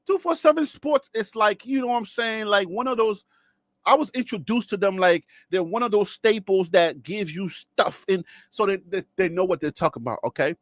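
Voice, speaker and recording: Man, high voice, casual sports host, rambling jokes, dry close sound. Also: a bit bright, no echo. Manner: rambling, joking, laughs often, talks to viewers.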